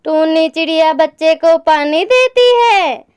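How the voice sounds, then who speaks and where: Slow high-voiced woman storyteller. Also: lively intonation. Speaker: narrator. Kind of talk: scripted children's story narration.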